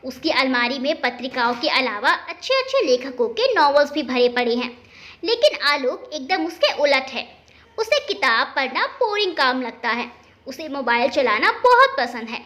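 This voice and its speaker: A woman storyteller reading a scripted family story in an expressive narrating style. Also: high-pitched, normal speed.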